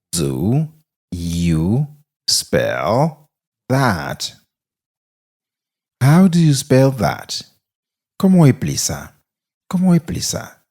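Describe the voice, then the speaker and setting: Deep gravelly Haitian-accented man, very slow. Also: darkish tone. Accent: Haitian Creole. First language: Haitian Creole. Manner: repeats phrases word by word, very slowly. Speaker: English teacher. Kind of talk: slow drilling of English phrases with Creole explanations.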